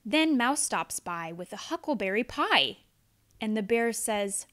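Casting: female storybook narrator, high-pitched, lively intonation, dramatic pauses. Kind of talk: expressive children's read-aloud.

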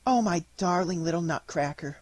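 A man voicing an earnest, tender little girl in a storybook, speaking in a soft, coaxing way. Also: a little high, moderate pace, a little hiss or hum, pitched above the performer's own voice, natural voice. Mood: tender, soothing.

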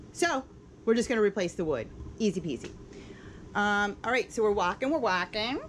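A woman's voice: mid-range voice, a little hiss or hum. A woman in her thirties giving a casual, upbeat how-to demonstration while working inside a small RV, with plenty of fillers as she goes. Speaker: RV renovation vlogger. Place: inside a small RV under renovation. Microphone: camera mic, moving about while working.

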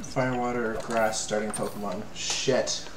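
A young man from Canada, co-hosting a live game stream in casual chat, rambling and joking with quick asides. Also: on the low side, steady tone, reverberant, slight background noise. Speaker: let's play co-host. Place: small room at home.

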